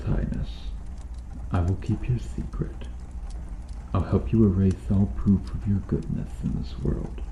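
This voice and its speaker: A brooding medieval knight, acted by a man, with a deep voice and a slow, solemn delivery. His speech is formal and archaic, protective and full of remorse, in an intimate roleplay style. It sounds in a roomy, echoing space. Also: narrow, tinny audio. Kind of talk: ASMR roleplay, slow dramatic acting addressed to the listener. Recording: roomy echo, faint background noise.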